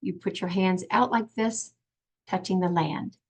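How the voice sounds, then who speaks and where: An older woman patiently teaching young children in simple, step-by-step phrases, in the gentle style of a preschool lesson. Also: on the low side, fairly even intonation, measured pace, husky. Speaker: children's sign language and song teacher.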